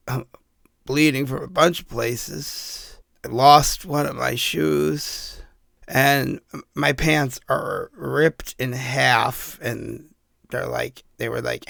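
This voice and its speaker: A man playing a battered, sardonic man trapped in a haunted house, rambling haltingly as if leaving recorded messages in a horror audio drama. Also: medium pitch, creaky, a bit bright, no echo, studio quality. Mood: dazed, drained. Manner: halting, rambling, self-deprecating.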